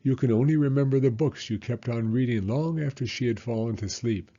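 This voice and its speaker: A man reading a children's picture book aloud as a story time host, with expressive, unhurried narration. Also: medium pitch, lo-fi sound.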